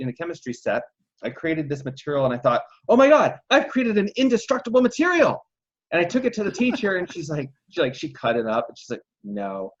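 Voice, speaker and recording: A man from Texas, a guest on a podcast, telling his story reflectively with frequent restarts. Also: on the high side. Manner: reflective, many restarts and self-corrections. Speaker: guest entrepreneur and coach. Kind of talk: casual interview storytelling. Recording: slightly dull lo-fi sound.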